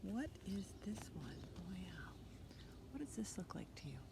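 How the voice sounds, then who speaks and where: A woman in her fifties leads a storytime for young children at a slow pace. She uses short, simple phrases and puts encouraging questions to her little listeners. Her voice sits at a middling pitch, recorded outdoors in a backyard with noticeable ambient noise. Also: very animated, vocal fry. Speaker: children's library storytime host. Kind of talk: interactive preschool storytime talk.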